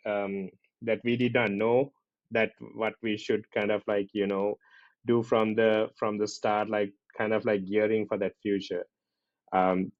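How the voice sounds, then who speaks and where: A man with a Sri Lankan accent talking casually as a podcast host, halting and repeating himself, often checking in with a tag question. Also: lowish voice, darkish tone, no echo. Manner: halting, repetitive, often tags questions with "right". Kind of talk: casual conversational podcast talk. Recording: dull lo-fi audio.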